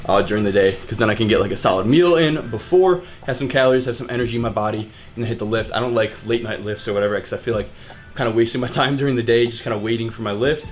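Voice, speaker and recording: A man's voice: medium pitch, very fast, soft background music. A nineteen-year-old man with an American accent, chatting casually and informally as he answers viewer questions in a fitness vlog.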